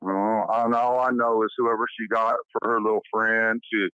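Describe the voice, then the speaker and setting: A man's voice: fairly low pitch, level tone, moderate pace, pushed and bright, smooth, no room sound. Bitter man ranting on a muffled call. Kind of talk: private phone conversation. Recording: muffled recorded phone call.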